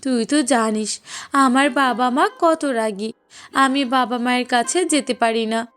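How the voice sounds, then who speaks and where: A woman's voice: a little high, a little slow, fairly bright tone. A woman voicing a needy, touchy young female friend in a cartoon, with a plaintive, emphatic delivery. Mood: pleading. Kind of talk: cartoon dialogue acting. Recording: voice over prominent music bed. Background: music.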